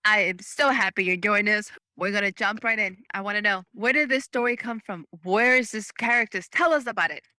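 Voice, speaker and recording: Bright, effusive woman hosting. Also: mid-range voice, a little fast, few pauses, no echo, studio quality. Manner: effusive, enthusiastic. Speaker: host. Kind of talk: upbeat interview hosting.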